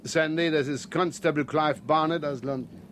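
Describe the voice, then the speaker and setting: A man's voice: on the high side, steady tone, moderate pace, background noise, lightly acted. An actor playing a grumbling, wry and brusque male police inspector in a 1970s radio crime drama. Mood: dry, irritated.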